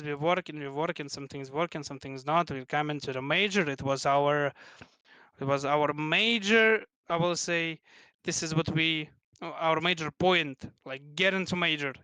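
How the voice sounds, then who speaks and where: A man in his twenties with a Russian accent, chatting as an interview guest, speaking haltingly with many restarts. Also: a little high, pushed and bright, clean tone, no room sound. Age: 20s. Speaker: guest, pro gamer. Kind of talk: casual interview chat.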